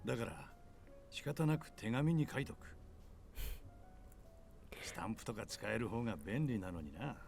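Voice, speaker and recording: Easygoing grandpa in a visual novel, gravelly, talks quick. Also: male voice, mid-range voice, expressive, darkish tone, faint music behind. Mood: easygoing, wry. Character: casual, easygoing grandfather writing to his granddaughter.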